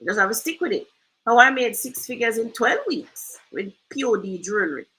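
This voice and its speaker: A woman's voice: fairly high pitch, expressive, moderate pace, a bit bright, poor audio. A Jamaican woman hosting a casual screen-share tutorial, chatty and loose, often asking "right?". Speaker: host.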